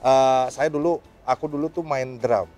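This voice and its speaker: Pastor chatting advice over music. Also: male voice, mid-range voice, measured pace, a little mellow. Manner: informal, mixes English words into speech. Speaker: pastor answering questions. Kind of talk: conversational religious advice.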